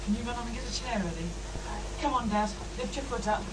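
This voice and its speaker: A woman's voice: fairly high pitch, fast talker, lightly acted. A woman playing a protective, exasperated grown daughter in an audio drama. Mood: protective, sharp. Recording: clearly noisy, distant from the mic.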